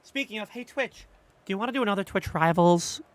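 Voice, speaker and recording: A woman's voice: medium pitch, lively intonation, a little fast. A woman livestreamer chatting casually with her viewers, reading chat aloud in a loose, rambling, slangy way. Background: faint background music.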